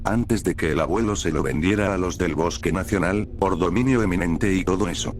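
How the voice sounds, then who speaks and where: A stony middle-aged mountain local in a horror story, voiced by a male narrator. The voice is low, and the delivery is terse, folksy and indifferent. Faint ambient noise runs underneath. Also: fairly quick, close to an everyday voice. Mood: stony warning. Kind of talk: acted dialogue in horror storytelling.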